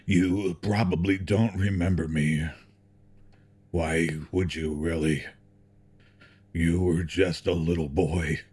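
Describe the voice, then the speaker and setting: An actor playing a sickly, wistful old corporate chairman with a frail husky voice and halting, reflective delivery in an audio drama. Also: low voice, steady tone, a little mellow, full character voice. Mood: wistful, weary. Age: older. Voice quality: husky, frail, with throat clearing and coughs.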